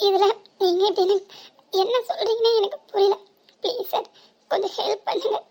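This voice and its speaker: A woman's voice: very high-pitched, steady tone, a little fast, dark tone. A woman voicing a wailing old granny in pain. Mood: pleading. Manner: wailing, pleading and groaning in pain. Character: old woman fallen in the road, pleading. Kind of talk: animated story dubbing.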